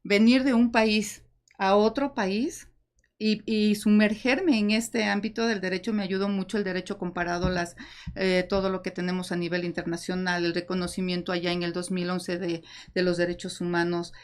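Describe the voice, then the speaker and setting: A woman from Ecuador who speaks with an acquired Mexican accent, a lawyer talking as a guest on a panel in an expressive, easy-laughing way. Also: lowish voice, normal speed. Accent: Mexican Spanish with Ecuadorian traces. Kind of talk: panel talk.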